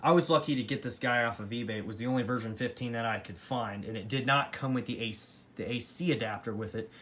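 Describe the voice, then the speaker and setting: A man's voice: medium pitch, quick pace, fairly bright tone, slight background noise. A man giving a casual, rambling product review in a matter-of-fact, opinionated way, as a hobbyist showing a collection. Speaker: hobbyist collector presenting weather radios.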